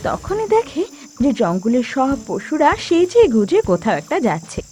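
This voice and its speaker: A woman narrating an animated fable for children as a scripted storyteller. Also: fairly high pitch.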